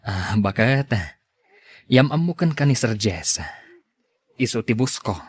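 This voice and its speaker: Husky, devoted Ilocano husband played by a man. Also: mid-range voice, animated, slow. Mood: proud, eager. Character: devoted, generous young husband, eager to please. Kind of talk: Ilocano radio drama acting.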